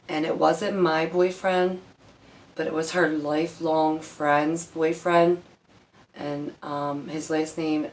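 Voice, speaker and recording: A woman's voice: sits low, poor audio. A woman telling personal stories casually as a vlog host, in a candid, rambling, emphatic way with some swearing. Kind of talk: casual personal storytelling to camera.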